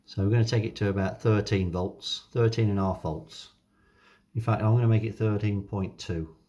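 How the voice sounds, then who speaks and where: A man presents a hobby demonstration. His delivery is casual and unhurried, with hesitations as he thinks out loud while he works. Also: lowish voice, steady tone, lo-fi sound. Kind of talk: casual hands-on demonstration narration.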